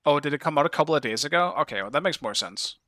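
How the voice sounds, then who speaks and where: A Danish-accented man streams a game and chats with his viewers in a bright, forceful voice. He comes across as curious and talkative, with casual swearing. The sound is dry and close. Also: fairly high pitch, animated, very fast, dry recording. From Denmark. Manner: chatty, curious, swears casually, talks to chat. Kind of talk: casual live-stream chat while playing.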